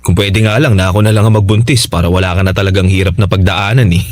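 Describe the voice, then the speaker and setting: A man playing a devoted, reassuring young husband in a Tagalog radio drama, speaking gently and earnestly. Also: deep voice, fairly even intonation, fast, no echo, natural voice. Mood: loving, earnest.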